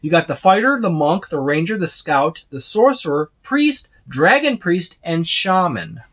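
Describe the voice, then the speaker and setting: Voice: medium pitch, big pitch swings, a little slow, a bit bright. A reviewer talking casually and unscripted through a tabletop game book, rambling along and often listing items one after another. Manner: rambling, repeats and lists items.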